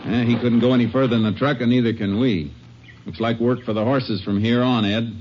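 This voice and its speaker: An actor playing a calm, steady Texas Ranger in a 1950s radio drama, speaking in an unhurried, matter-of-fact way. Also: male voice, mid-range voice, close to an everyday voice. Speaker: lawman lead. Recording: dull lo-fi 1950s radio broadcast with music.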